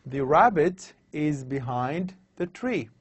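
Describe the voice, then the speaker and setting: A man lecturing on English grammar over slides, explaining slowly and repeating his examples. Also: on the high side, lively intonation, breathy edge, no echo.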